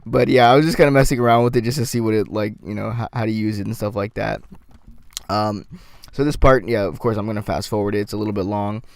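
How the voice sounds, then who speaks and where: A man with a slightly raspy, sore-throated voice talks casually over a game, rambling and restarting his sentences. His voice sits on the low side. The recording is close and dry. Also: steady tone, quick pace, dry recording. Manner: rambling, full of ums and restarts. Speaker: gameplay commentator. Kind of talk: casual gameplay commentary.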